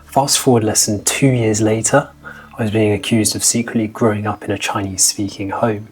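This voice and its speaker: A man's voice: fairly low pitch, steady tone, moderate pace, low-quality recording. A man in his twenties, a language-learning vlogger, talking in an upbeat, motivational style. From Britain. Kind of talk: scripted vlog narration.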